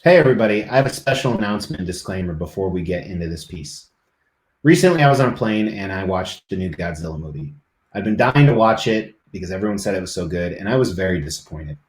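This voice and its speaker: A man's voice: deep voice, expressive, fast, reverberant. A middle-aged man live streaming, chatting casually and rambling to his viewers.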